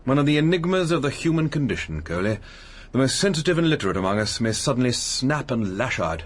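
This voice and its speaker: An actor playing a witty, arch entertainer turned sleuth in a radio mystery, with a theatrical, dryly flippant manner. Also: male voice, medium pitch, sing-song, fast. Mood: brisk, knowing. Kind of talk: radio mystery drama acting.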